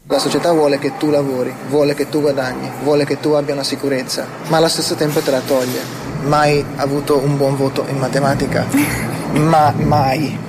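A man's voice: medium pitch, little pitch movement, moderate pace. Man talking thoughtfully over street traffic. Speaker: interviewee. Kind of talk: casual reflective talk.

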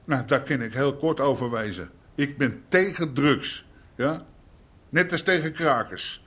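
An actor in an audio drama playing a blunt, folksy old-school underworld boss with a husky voice. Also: male voice, mid-range voice, unhurried, a bit bright. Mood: blunt, self-assured. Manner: plain-spoken, folksy, emphatic. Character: blunt old-school Amsterdam underworld nightlife boss, self-made man. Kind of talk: naturalistic audio drama acting.

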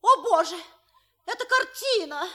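Very high-voiced, fluttery society lady. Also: lively intonation, measured pace, sharp tone, full character voice. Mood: flustered. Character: genteel society widow and mother, fluttery, easily flustered. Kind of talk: theatrical comedy audio play acting.

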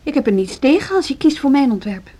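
An actress playing a determined younger deputy headmistress in a radio drama, insistent and argumentative. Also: female voice, high voice, big pitch swings, fast talker, dark tone. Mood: firm, insistent. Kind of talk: Dutch social radio drama acting.

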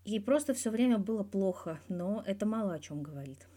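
A young male sports star played by a woman narrator answers in an audiobook interview. He is wry, guarded and blunt, with dry teasing in a mellow voice. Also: medium pitch, animated, brisk, natural voice. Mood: subdued, frank. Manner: guarded yet blunt, dryly teasing, swears freely.

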